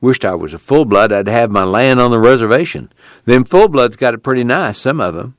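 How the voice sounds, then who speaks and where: A man's voice: mid-range voice, lively intonation, a little fast, no echo, studio quality, voice pushed up, natural voice. A man voicing a watchful, friendly young male camp guard with an easygoing, folksy rural drawl.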